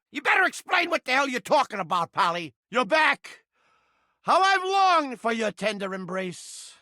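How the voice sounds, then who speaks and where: A touchy little mouse trap brought to life, with a piercing, bright voice recorded very dry. He is a brash, streetwise video game cartoon character who gripes in crude slang and flips between insult and bashfulness. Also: very high-pitched, no room sound, heavily acted. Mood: indignant, hostile, then playful, wheedling. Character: foul-mouthed, touchy, lovelorn male mouse trap come to life.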